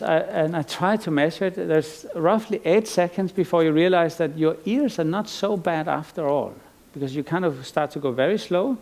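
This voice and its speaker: A man's voice: a little high, gravelly, a little mellow. A middle-aged man from Iceland giving a conference lecture as an artist, thoughtful and rambling, with frequent restarts and fillers. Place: lecture stage.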